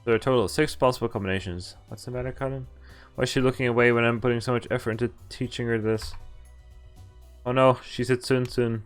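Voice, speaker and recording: A man's voice: medium pitch, fairly even intonation, fast. A man streaming a visual novel, reading it aloud with casual, amused asides. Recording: background game music behind voice. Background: game music.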